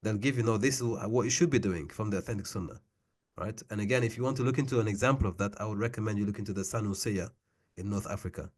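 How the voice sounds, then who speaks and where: Emphatic man with a lowish voice. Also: fast. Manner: emphatic, repeats phrases for stress. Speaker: discussion leader and teacher. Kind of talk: book club discussion, informal lecturing.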